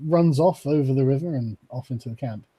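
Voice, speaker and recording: Husky-voiced man running a game. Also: medium pitch, brisk, warm tone, rough recording. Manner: laughs often while narrating. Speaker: game master. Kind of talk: narrating a tabletop game.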